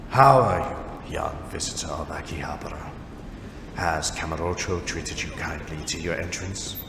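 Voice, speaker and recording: A man acting as a courteous martial arts master with a low, grave pitch, wrapped in long reverb. He speaks in formal, measured phrases, as in a voice-over for an amateur audio drama. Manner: formal, deliberate, measured letter reading. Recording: long echoing reverb, clear background noise.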